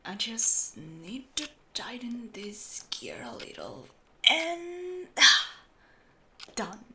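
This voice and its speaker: Steampunk clock repairer , played by a woman: female, patient, secretive, slightly high bright voice, faint noise behind, audio roleplay. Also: very animated, drawn-out words, heavily acted. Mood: patient, focused. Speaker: amateur voice actor. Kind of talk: audio roleplay, speaking to the listener.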